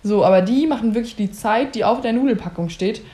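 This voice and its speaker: A young woman in a casual chat, telling a story in a lively way and laughing often. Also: on the high side, brisk.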